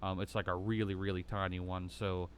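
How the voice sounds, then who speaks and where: Laid-back man with a smooth bassy voice. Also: little pitch movement, fairly quick. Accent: American. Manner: rambling, lots of ums and likes. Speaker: gaming YouTuber. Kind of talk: casual gameplay commentary.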